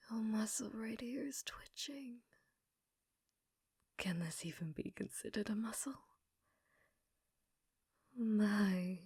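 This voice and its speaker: A woman playing an obsessive, flirtatious scientist in an intimate ASMR roleplay, with soft breathy speech and precise clinical phrasing. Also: medium pitch, no echo, studio quality. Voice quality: soft, breathy, often whispered.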